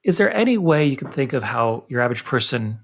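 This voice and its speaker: A man hosting an interview podcast, his voice leaping upward when he stresses a point and then dropping back down, quick on his asides. He speaks at a middle pitch. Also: very animated. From United States. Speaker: podcast host. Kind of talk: casual interview.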